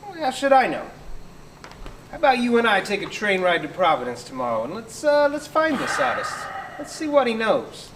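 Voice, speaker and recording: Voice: mid-range voice, sing-song, normal speed, noisy background, lightly acted. An actor playing a brash, skeptical police sergeant in a period stage drama, blunt, impatient and scoffing. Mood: skeptical, urging. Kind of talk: radio-style stage drama.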